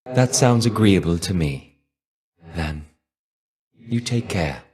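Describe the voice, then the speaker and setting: Low-voiced, wistful fallen Greek warrior. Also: male voice, animated, a little mellow. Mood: gently concerned. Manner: measured, understated, wistful, trailing off at phrase ends. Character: shade of a fallen Greek warrior, wry, melancholy, reserved. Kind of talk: video game character voice lines.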